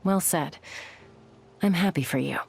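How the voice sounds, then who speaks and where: A woman's voice: fairly low pitch, a little slow, gravelly, a little mellow. A woman playing a friendly, encouraging young woman in a video game, informal and upbeat. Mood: supportive.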